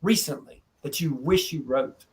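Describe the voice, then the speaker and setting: A man's voice: fairly high pitch, normal speed. A man hosting a talk show, asking questions in a casual, conversational style. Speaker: talk show host, interviewer. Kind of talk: casual interview chat.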